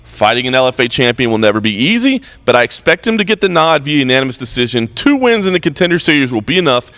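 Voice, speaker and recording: Fast-talking male fight-show host. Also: medium pitch, sing-song, fairly bright tone. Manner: brisk, reads stats and odds. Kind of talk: pre-show betting and matchup talk.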